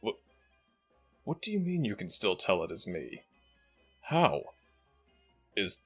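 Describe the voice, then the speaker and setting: An ancient, dignified jackal god voiced by a man for an audio roleplay. His voice is very low and gravelly, with wide swings of intonation. He speaks slowly and formally, regal yet fondly exasperated. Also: pushed and bright, full character voice. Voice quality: deep, gravelly. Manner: formal, slow, measured.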